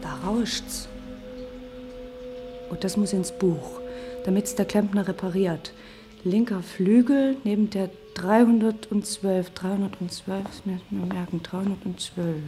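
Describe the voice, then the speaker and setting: An actress playing a dreamy, exact night watchwoman who murmurs haltingly to herself in a radio play. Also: medium pitch, steady tone, music in the background, natural voice. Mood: musing. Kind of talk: German radio play acting.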